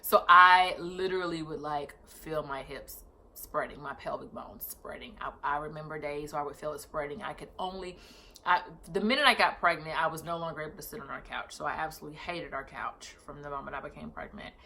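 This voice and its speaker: Chatty low-voiced woman in her thirties. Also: fairly quick, bright, forceful tone, a little hiss or hum. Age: 30s. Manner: chatty, corrects herself mid-sentence. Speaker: vlogger. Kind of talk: casual personal vlog storytelling.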